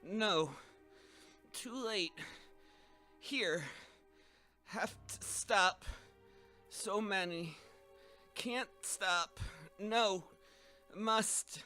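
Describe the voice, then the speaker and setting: Man acting a frantic possessed youth, high and halting. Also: pushed and bright, soft background music, full character voice. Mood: tormented. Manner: halting, strained fragments. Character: frantic possessed young man fighting dark visions.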